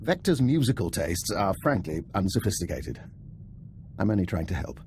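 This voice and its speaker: A man's voice: low voice, sing-song, moderate pace, a little mellow, a little hiss or hum. An actor playing a shrewd older male scientist spy in a video game, formal, wry and precise. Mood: grave, precise. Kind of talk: video game cutscene acting.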